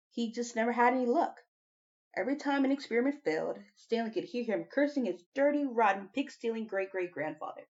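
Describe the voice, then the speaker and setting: A woman's voice: fairly high pitch, muffled recording. A woman reading a children's novel aloud as an audiobook narrator. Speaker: audiobook reader.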